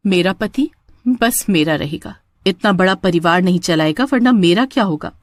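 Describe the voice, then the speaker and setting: A woman's voice: on the low side, animated, moderate pace, no echo, natural voice. A woman playing a scheming, possessive witch daughter-in-law who gloats and mutters menacingly in a horror-comedy story. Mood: possessive, gloating.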